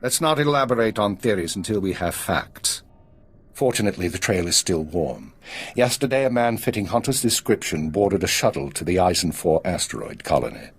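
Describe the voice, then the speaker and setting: An actor playing a grave, calculating intelligence minister in a video game, speaking in a measured, formal, husky way. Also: male voice, low voice, animated, exaggerated voice. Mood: businesslike. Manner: measured, formal, coolly pragmatic. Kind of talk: dramatic game dialogue.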